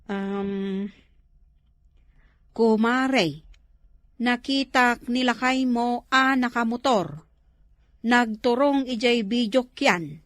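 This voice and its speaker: A woman's voice: a little high, extremely slow, pauses often, a bit bright, no room sound, clean studio sound. A woman playing a mischievous, gossiping village neighbour in a comedy drama, with an Ilocano accent. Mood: gossipy.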